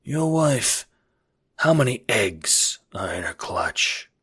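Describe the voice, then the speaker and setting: A man voicing an oily, menacing crocodile crime boss with a fake Godfather accent and a slow, falsely genteel way of talking. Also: mid-range voice, very animated, vocal fry, a bit bright, slightly rough, pitched above the performer's own voice, full character voice. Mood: oily, courtly. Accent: fake Godfather mobster accent.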